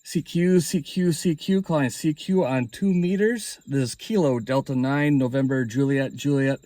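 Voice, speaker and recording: A man's voice: mid-range voice, normal speed, mellow, no echo. A man speaking casually as an amateur radio operator hosting a hobby video. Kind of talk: casual hobby vlog talk and radio calling.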